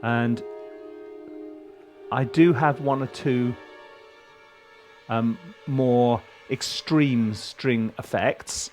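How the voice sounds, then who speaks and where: A man's voice: mid-range voice, extremely slow, pauses often, a little mellow. A middle-aged man, a composer walking through his music session in a casual, rambling way with many ums and restarts. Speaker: composer teaching film scoring. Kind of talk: casual screen-share walkthrough. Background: music from his session playing faintly.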